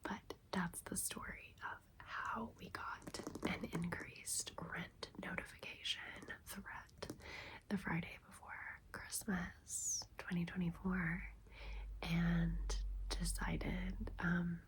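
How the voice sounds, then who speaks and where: A woman in her thirties hosting ASMR, telling a personal story in a breathy, intimate, chatty whisper. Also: on the low side, flat delivery, takes it slowly. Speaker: ASMR video host.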